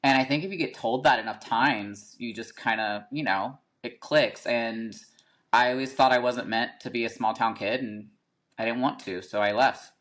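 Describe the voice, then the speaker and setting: A man's voice: medium pitch, moderate pace, fairly bright tone. Chatty man, lots of fillers. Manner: chatty, filler-heavy, frequent "like" and "um". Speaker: podcast guest, rapper. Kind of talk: casual interview storytelling.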